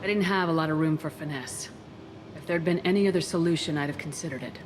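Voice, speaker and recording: A woman playing a composed, direct female military commander in a video game, speaking in a measured, matter-of-fact way. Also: low-pitched, noisy background, natural voice. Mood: firm, dry. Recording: music behind the voice.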